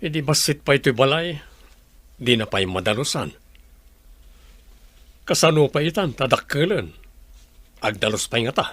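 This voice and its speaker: A man's voice: mid-range voice, lively intonation, moderate pace, pauses often, a bit bright, no room sound. A man playing a weary, hardworking young father from a village in a Filipino radio drama. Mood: weary, earnest.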